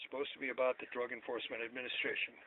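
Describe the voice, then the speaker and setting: A man in his seventies talking to camera in a rambling way, repeating himself and getting louder when he asks questions. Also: medium pitch, little pitch movement, very fast, crowd noise. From United States. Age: 70s. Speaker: vlogger. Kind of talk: rambling casual talk to camera. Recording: tinny lo-fi sound with faint hiss.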